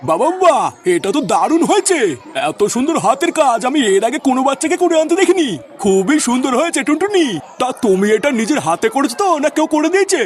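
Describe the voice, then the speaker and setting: A man voicing an excitable, foul-mouthed male schoolteacher in a comic cartoon dub, full of exclamations. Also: very high voice, fast talker. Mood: impressed. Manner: exclamatory, scolding or gushing.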